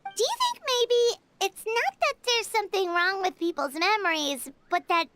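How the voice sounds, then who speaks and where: A woman's voice: extremely high pitch, lively intonation, a bit bright, dry recording. A woman voicing a tiny, chatty female fairy-like companion in a video game. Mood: curious. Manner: chatty, eager, talks in quick bursts.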